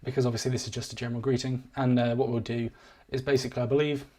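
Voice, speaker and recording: A man giving a live-streamed software walkthrough, rambling and self-deprecating, his voice wearing thin. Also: medium pitch, little pitch movement, rapid-fire. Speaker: software demo presenter.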